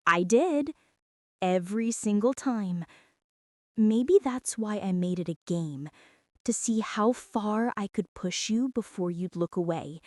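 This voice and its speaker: A woman playing a young former bully in an intimate ASMR roleplay, speaking in a hushed, hesitant way that often slips toward a whisper. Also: mid-range voice, dry recording, clean studio sound. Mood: teasing, confessing. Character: young female former bully, stunned, teasing, softening into tenderness.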